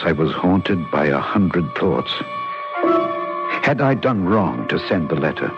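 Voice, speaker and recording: An actor playing a thoughtful, uneasy gentleman narrating a mystery in an old radio drama, formal, measured and dramatic. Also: low-pitched. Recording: dull old radio recording with music.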